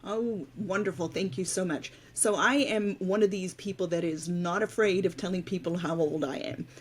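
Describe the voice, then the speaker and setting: A woman's voice: lowish voice, moderate pace, breathy edge, poor audio. A woman in her fifties from South Africa, with Afrikaans as her first language, telling her story openly as a podcast guest. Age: 50s. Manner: open, storytelling, relaxed. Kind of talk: casual interview talk.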